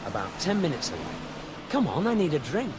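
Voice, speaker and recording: An actor playing an insistent alien passing as an ordinary man, brisk and urgent, in a comic radio drama. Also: a little high, huge pitch swings, heavy background noise.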